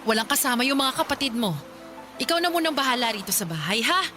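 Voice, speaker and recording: A woman's voice: high voice, very animated, fast, music in the background. An actress playing a caring, harried mother who fusses and reassures in a radio drama. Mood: reassuring, harried. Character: caring, harried mother of a poor fishing family.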